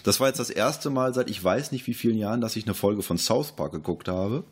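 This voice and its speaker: A man's voice: lowish voice, a little fast, breathy edge. A man casually discussing films as a podcast host.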